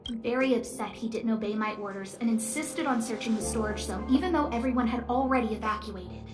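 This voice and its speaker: A woman voicing a young, caring female researcher in a video game, in an expressive, girlish, conversational way. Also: a little high, a little fast, echoey room, music in the background, natural voice. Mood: worried, exasperated. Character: young female lead researcher, wealthy, cheerful, caring stargazer. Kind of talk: video game character dialogue.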